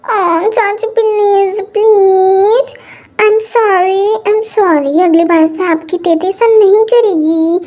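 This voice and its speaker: Woman putting on a spoiled teen girl, super high and whiny, begging a lot, kinda mellow tone. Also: fairly even intonation, takes it slowly. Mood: pleading. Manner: whiny wheedling pleading. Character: pampered teenage daughter, sweet and pleading.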